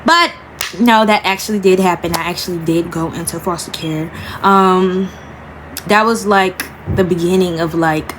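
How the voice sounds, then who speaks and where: A woman's voice: on the low side, fairly bright tone, slight background noise, rough recording. A young woman from Florida telling her own story in a casual storytime vlog, hesitant, with many fillers and restarts. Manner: halting, many fillers and restarts, keeps asking "you feel what I'm saying". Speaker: vlogger.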